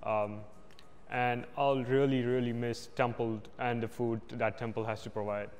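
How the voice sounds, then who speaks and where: A man's voice: mid-range voice, level tone, unhurried, a little mellow, long reverb tail, a little hiss or hum. A young man from India, a senior student, answering a campus interview in an earnest, reflective way. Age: young adult. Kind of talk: outdoor vox pop interview. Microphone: lapel.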